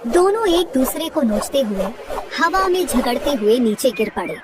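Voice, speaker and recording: A woman narrating a children's story in a steady, gently dramatic way. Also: high-pitched, moderate pace, far from the mic, very noisy.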